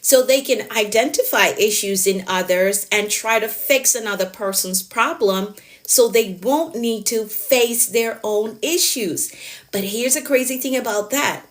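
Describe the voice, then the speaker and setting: A woman coach talking on a live stream, encouraging and emphatic, repeating her key phrases and answering viewers' comments. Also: mid-range voice. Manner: encouraging, motivational. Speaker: productivity coach.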